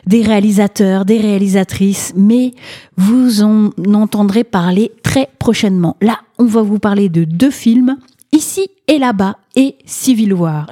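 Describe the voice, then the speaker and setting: A woman's voice: medium pitch, warm tone, dry recording, studio quality. A French-speaking woman hosting a film review show, talking casually with many hesitations and fillers.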